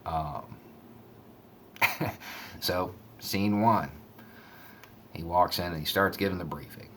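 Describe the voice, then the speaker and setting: Very deep-voiced man, casual commentary. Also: slow, a bit bright, slight background noise. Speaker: online commentator. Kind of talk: casual spoken commentary and storytelling.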